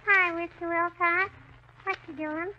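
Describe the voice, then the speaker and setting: Cheeky little girl, slow and squeaky-high, old radio comedy. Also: fairly even intonation. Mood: cheeky, curious. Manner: childish, teasing, folksy talk. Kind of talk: 1930s radio comedy acting. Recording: noisy dull 1930s radio recording.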